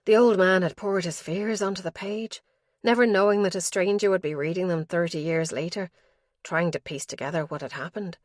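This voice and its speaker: A woman narrates a mystery thriller audiobook, steady and suspenseful. Her voice sits low, and the recording is dry and close with no room sound. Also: dry recording. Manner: measured, suspenseful storytelling.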